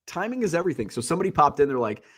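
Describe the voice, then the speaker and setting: A man's voice: fairly high pitch, big pitch swings, racing, a bit bright, slightly rough. A congested man hosting a livestream, talking in a casual, rambling, blunt way and swearing freely. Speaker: livestream host. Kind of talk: casual solo chat.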